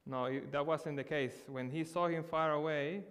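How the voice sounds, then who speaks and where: A man preaching a sermon in earnest, slowing down to stress key words. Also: fairly high pitch, fairly even intonation, a bit bright, long reverb tail. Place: church hall.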